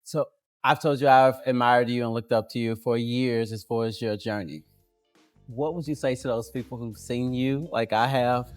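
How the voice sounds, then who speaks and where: Podcast host, adult man, mid pitch, earnest questions, faint music behind. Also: steady tone, moderate pace, smooth. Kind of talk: interview questions.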